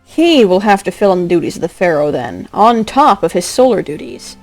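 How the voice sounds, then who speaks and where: A woman narrating a scripted drama as a storyteller, expressive, with a wry touch. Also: mid-range voice, fairly quick. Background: music.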